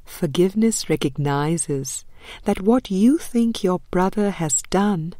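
Slow, mellow woman reading aloud. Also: lowish voice, no room sound. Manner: measured, deliberate, with frequent pauses. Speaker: audiobook reader. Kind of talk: scripted reading of a spiritual book.

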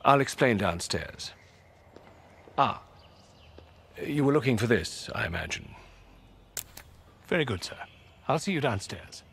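Formal elderly British butler. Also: male voice, mid-range voice, lively intonation, measured pace, vocal fry, long pauses, fairly bright tone, slight background noise. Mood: dry, concerned. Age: older. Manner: formal, dryly understated, polite. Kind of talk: video game voice acting.

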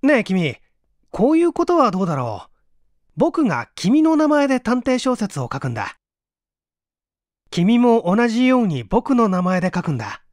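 A man voicing an eager, scheming mystery writer with an animated, persuasive manner in a storybook reading. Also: high-pitched, normal speed, no echo, clean studio sound, voice pushed up. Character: scheming male mystery writer, eager, pleased with his mischief.

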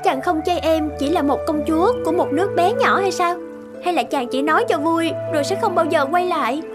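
A woman's voice: extremely high pitch, a little fast. A woman voicing a young princess in love in a cartoon fairy tale, earnest and emotional. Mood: tender. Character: young princess in love, sweet, pleading, heartbroken. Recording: loud background music.

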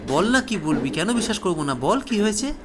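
A disbelieving male police officer, played by a man narrator in a horror audio story. His voice is raised high, urgent and insistent as he questions a friend, with clear noise behind him. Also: big pitch swings, brisk. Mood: concerned.

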